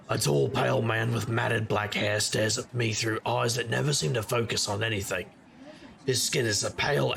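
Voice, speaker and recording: Man playing a gravelly, wry private eye. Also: fairly low pitch, fairly bright tone. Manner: wry, sardonic, conversational. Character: wry hard-boiled supernatural private investigator, man. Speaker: narrator and lead character. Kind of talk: noir first-person scripted narration.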